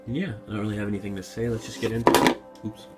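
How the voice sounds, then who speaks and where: A man's voice: lowish voice, steady tone, rapid-fire, loud music behind the voice. A young man with a sore throat from being sick, casually unboxing a knife at a table and grumbling and swearing as he goes. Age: young adult. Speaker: vlogger. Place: indoor room at a table.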